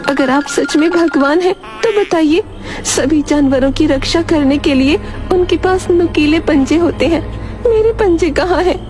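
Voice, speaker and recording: Woman voicing a high-pitched naive talking cow. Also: a little slow, over music. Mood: questioning. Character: talking cow, female, naive but stubborn and suspicious. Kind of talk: animated children's moral story.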